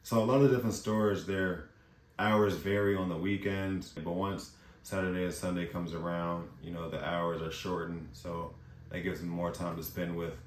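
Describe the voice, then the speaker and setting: Man from the US, young adult, low voice, casual slangy vlog talk, roomy echo. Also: normal speed. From United States. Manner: relaxed, chatty, slangy. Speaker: vlogger. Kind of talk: casual talking-head vlog. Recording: echoey room.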